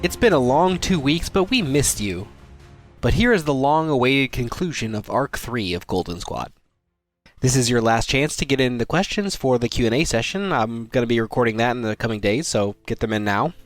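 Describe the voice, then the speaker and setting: A man's voice: mid-range voice, expressive, moderate pace, dry recording, soft background music. A man speaking as podcast host, giving an upbeat, friendly episode introduction addressed straight to listeners.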